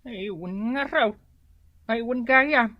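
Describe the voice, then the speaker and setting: An actress playing a gossipy village neighbour in an Ilocano radio drama. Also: female voice, on the high side, takes it slowly, no echo, highs cut off. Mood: gossiping.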